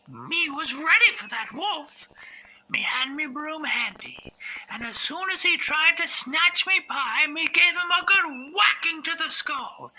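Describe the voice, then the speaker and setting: A woman playing an indignant old country woman giving rustic, ungrammatical, emphatic testimony in a dramatized interview on low-quality tape. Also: bright, forceful tone, slight background noise, heavily acted. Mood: indignant, proud.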